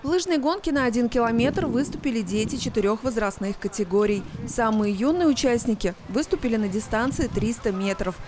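A woman reading a scripted local news voice-over in an even, clear way. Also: a little high, a little fast, few pauses. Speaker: news reporter.

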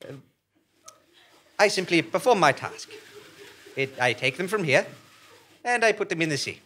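A man's voice: sits high, expressive, moderate pace, fairly bright tone, a little hiss or hum, pitched above the performer's own voice. Elderly, mild, hesitant keeper of a small job, played by a man, fussy and dutiful, live comedy audio drama. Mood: defensive. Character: tired old balloon-dwelling man, mild, fussy, dutiful. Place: small theatre stage before a seated audience.

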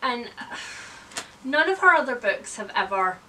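A woman's voice: on the high side, big pitch swings, moderate pace, sharp tone, slight background noise, poor audio. A woman in her thirties hosting a casual, opinionated book chat, speaking in a blunt, wry way with some mild swearing. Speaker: book reviewer, video host. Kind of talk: casual chatty book discussion. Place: indoors, room lined with bookshelves.

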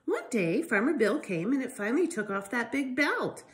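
A middle-aged woman reading a children's storybook aloud in an expressive, emphatic storytelling style. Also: a little high, moderate pace. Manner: animated, emphatic delivery with sound effects. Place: living room by a fireplace, indoors.

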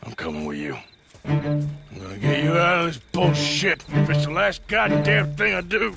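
A man with an American accent playing a weary, dying, stubborn outlaw in a western game, halting but resolute. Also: fairly high pitch, lively intonation, gravelly, loud music behind the voice. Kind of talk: cinematic western game acting.

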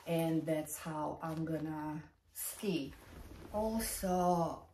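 Low-pitched woman, roomy echo. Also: drawn-out words, mellow. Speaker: fashion vlogger. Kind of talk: casual vlog chat while showing items. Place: large carpeted bedroom indoors. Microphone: camera a few feet away. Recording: roomy echo, distant mic, light noise.

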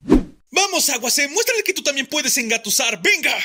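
A man's voice: extremely high pitch, big pitch swings, normal speed, bright, forceful tone, exaggerated voice. A man playing a smug, jealous teenage boy rival in an anime fandub, theatrical, mocking and indignant. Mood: frantic.

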